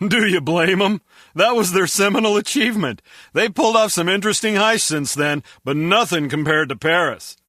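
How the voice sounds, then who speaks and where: Bright-voiced casual henchman. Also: sits high, moderate pace, no room sound, studio quality. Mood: casual, matter-of-fact. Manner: colloquial, matter-of-fact. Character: casual, unbothered male henchman on base staff. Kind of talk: video game dialogue.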